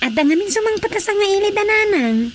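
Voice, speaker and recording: A woman's voice: very high-pitched, very animated, a little fast, a little hiss or hum. A woman playing a chatty, teasing village daughter in a Filipino radio drama comedy, speaking expressively.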